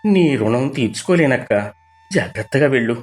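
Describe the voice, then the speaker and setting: A man's voice: mid-range voice, animated, normal speed, soft background music, close to an everyday voice. Man acting a weak, plaintive village brother. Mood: grateful. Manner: plaintive, affectionate. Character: weak, grateful younger brother, poor villager.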